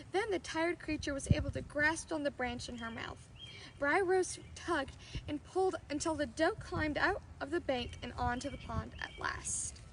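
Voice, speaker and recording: A woman's voice: sits high, a bit bright, slight background noise, poor audio. A woman storyteller reading a children's fairy tale aloud.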